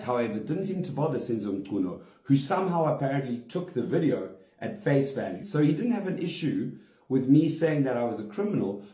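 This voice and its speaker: A man's voice: mid-range voice, mellow. Indignant man, roomy sound. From South Africa. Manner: indignant, emphatic, stressing single words. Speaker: comedian. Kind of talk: direct-to-camera statement. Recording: roomy echo.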